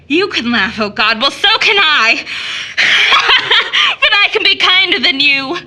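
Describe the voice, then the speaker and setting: An actress playing an anguished, bitterly defiant young woman in an audio drama, with impassioned, halting theatrical delivery. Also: very high voice, very animated, background noise, heavily acted. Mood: bitter, hysterical defiance. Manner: impassioned, halting, swinging from hushed to fierce.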